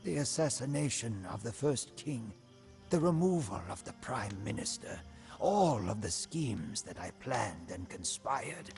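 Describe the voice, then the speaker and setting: A man's voice: mid-range voice, sing-song, soft background music. An actor playing an ambitious, scheming old prime minister, solemnly and theatrically narrating his life for a storybook game campaign. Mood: bitter, remorseful. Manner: solemn, theatrical, deliberate, with hushed line endings.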